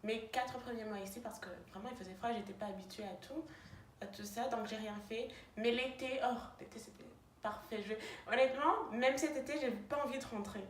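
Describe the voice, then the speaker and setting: Young woman, non-native french speaker, chatty roommate, highish voice, echoey room with faint hiss. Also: brisk. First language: neither French nor English. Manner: chatty, many fillers and restarts. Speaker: roommate answering questions. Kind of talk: casual chat.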